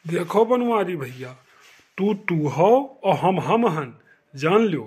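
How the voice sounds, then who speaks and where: A man plays a cocky, drunken cartoon villager with an Awadhi accent and a fairly high pitch. He sounds sulky and defiant, swaggering like a self-appointed village don. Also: very animated, takes it slowly, a little mellow, breathy edge, heavily acted. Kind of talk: comic cartoon dialogue.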